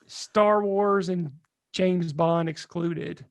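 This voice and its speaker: A man with a high voice and plenty of vocal fry speaks as a podcast co-host. He is casual and blunt, often cutting in on the discussion. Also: steady tone, extremely slow.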